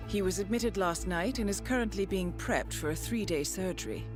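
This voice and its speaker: An actress playing a composed, authoritative female mission handler in a video game, speaking in a crisp, formal, measured way. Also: medium pitch, lively intonation, over music, lightly acted. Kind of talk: scripted game dialogue and mission briefing.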